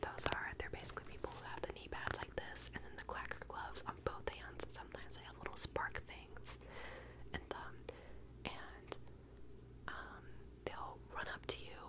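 A woman's voice: moderate pace, poor audio. A young woman whispering a casual, rambling ASMR check-in with a stuffed-up nose, in a small bedroom on a close microphone. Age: young adult. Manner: rambling, casual, many fillers. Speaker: ASMR creator.

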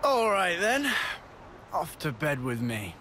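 A man's voice: fairly high pitch, wildly swooping pitch, slow, sharp tone, slight background noise, full character voice. A man playing a boastful young soldier in a video game, with an informal, swaggering way of talking. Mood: miffed. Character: cocky, boastful young male soldier, quick to bicker. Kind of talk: video game cutscene acting.

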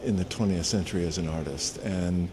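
A man interviewing a curator in a museum, asking measured, unhurried questions. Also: low-pitched, darkish tone, slight background noise. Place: museum gallery indoors.

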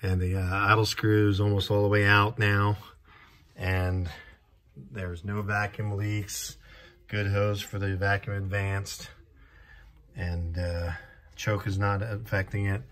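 A man's voice: fairly low pitch, flat delivery, takes it slowly, a bit bright. A middle-aged man in his fifties filming a casual road-trip vlog outdoors on a handheld phone, chatting in a self-deprecating way and fumbling for words as he works on his car. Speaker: vlogger fixing his classic car. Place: outdoors in a sunny desert yard. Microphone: handheld phone camera at arm's length.

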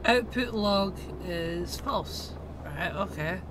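Slow-talking woman streamer, swearing. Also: on the low side, animated, noisy background. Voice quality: muttering, swearing, reads commands aloud. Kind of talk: live gameplay commentary.

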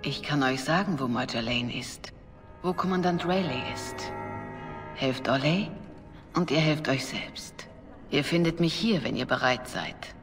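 Game role: a calm, dignified revered mother, middle-aged, measured and formal, regretful undertone, music underneath. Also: sits low. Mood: firm, counselling. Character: composed, remorseful older Orlesian revered mother, a priestess. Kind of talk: dramatic video game voice acting.

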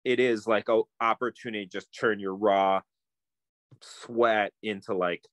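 Low-voiced man musing on a video call. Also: fairly even intonation, measured pace, a bit bright, no echo. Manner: thoughtful, meandering, many fillers and restarts. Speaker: guest, permaculturist. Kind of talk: casual video-call conversation. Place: home room with bookshelves, indoors. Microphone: phone video call, close up.

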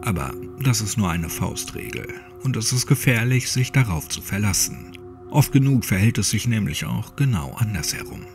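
A man narrates a creepy horror audiobook in a low voice. His delivery is dramatic and suspenseful, with lively swings in intonation. Music plays clearly behind him. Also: moderate pace. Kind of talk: scripted creepypasta audiobook narration, addressing the listener. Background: music under the voice.

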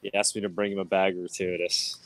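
Man, middle-aged, excited, laughing, store vlog, earphone mic, dry. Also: deep voice, fast talker, no echo, low-quality recording. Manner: excited, laughing, talking to camera. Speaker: show correspondent. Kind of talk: casual vlog interview. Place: grocery store checkout, indoors. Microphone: wired earphone mic.

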